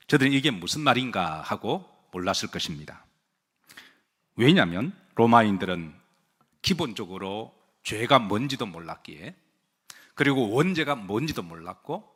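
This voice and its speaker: A man's voice: on the low side, pushed and bright, breathy edge. A male pastor preaching a sermon to his congregation, asking them questions, having them repeat phrases after him, and joking with them lightly. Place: church sanctuary. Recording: long hall reverb.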